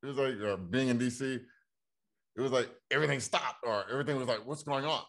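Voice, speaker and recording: Guy hosting an interview, halting, lots of um and uh, medium pitch voice. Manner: hesitant, halting, many fillers and restarts. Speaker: interview host. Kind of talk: casual conversational interview.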